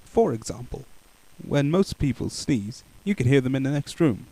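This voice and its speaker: A man reading a children's picture book aloud in a playful, expressive way. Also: mid-range voice, moderate pace, no room sound, poor audio. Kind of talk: animated storybook read-aloud.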